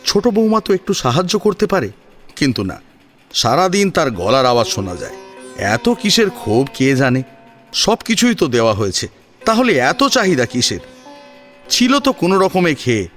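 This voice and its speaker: A man narrates a dramatic audio story. His voice sits at a middle pitch and rises and falls widely with expressive, rhetorical phrasing. Music plays softly underneath. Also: normal speed.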